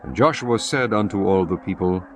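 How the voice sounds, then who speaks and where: Deep-voiced male narrator. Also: normal speed, slight background noise. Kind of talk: scripted narration.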